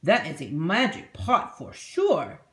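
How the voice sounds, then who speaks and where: Woman doing a slow, low, dopey big lad. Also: huge pitch swings, heavily acted. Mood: awed. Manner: drawling, dopey. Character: big, slow-witted young man, eager and careless. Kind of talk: children's storybook character voice.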